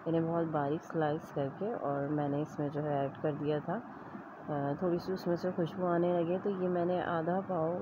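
Female home-cooking host, low pitch, explaining steps plainly, noisy lo-fi recording. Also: level tone, normal speed. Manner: explanatory, step-by-step instruction. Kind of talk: recipe voice-over narration. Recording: dull lo-fi audio with clear background noise.

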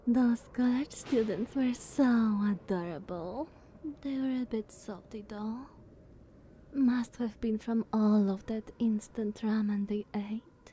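A woman playing a playful, teasing younger vampire sister in ASMR roleplay, sultry and breathy, often near a whisper, coy and purring. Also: a little high, slow, a little hiss or hum. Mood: amused, nostalgic. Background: soft ambience.

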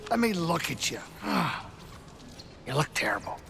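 A man playing a small, four-armed, hairy alien saloon owner in a video game, gruff but warm, with a blustery, fussing manner. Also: a little high, moderate pace, heavily acted. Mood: hearty, fussing. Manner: animated, fussing, excitable, blustery. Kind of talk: video game cutscene acting. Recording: long hall reverb, faint background noise.

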